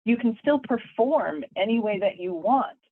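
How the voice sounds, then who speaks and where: A woman's voice: fairly high pitch, animated, normal speed, dry recording. A woman professor explaining ideas in an interview, in a thoughtful lecturing way that often repeats words as she builds a point. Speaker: guest, communication professor. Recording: tinny phone-like line, dry.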